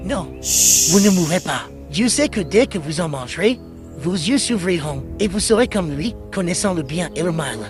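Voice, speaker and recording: Cartoon serpent, acted by a man, high swooping voice, soft, sly, seductive, coaxing. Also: animated, moderate pace, soft background music. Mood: persuasive. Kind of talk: animated Bible story dialogue.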